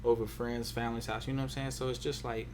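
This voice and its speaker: A man's voice: medium pitch, little pitch movement, fast, a little mellow, a little hiss or hum. A man talking casually and colloquially, often checking that the listener follows him. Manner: colloquial, peppered with "you know what I'm saying". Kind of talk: casual relationship chat.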